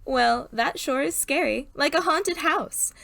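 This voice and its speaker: A woman playing a chipper, wholesome sponsor's pitchwoman in a parody of old radio advertising, polished and sweetly persuasive. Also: a little slow, vocal fry, fairly bright tone, no room sound, exaggerated voice.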